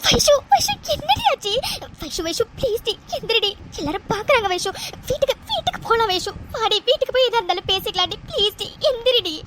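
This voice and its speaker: Worried high-voiced best friend, woman doing the voice, urgent and pleading, hissy recording. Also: lively intonation, quick pace, fairly bright tone. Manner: pleading, urgent, repeats names. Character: anxious young woman, loyal best friend. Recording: noisy home recording with hiss.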